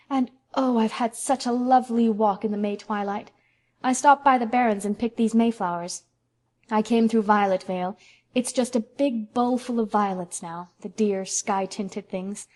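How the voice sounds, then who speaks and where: A woman's voice: medium pitch, moderate pace, a little mellow, lightly acted. A woman voicing a dreamy, effusive young woman who speaks in a lyrical, gushing way.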